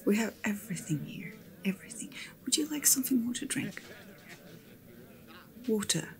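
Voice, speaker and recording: A woman playing an attentive innkeeper in a fantasy tavern ASMR roleplay, speaking in a hushed, breathy, often whispered voice. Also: on the high side, expressive, natural voice. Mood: gently hospitable. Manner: hushed, unhurried, attentive hostess talk. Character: Edna, attentive female innkeeper serving guests in fantasy tavern.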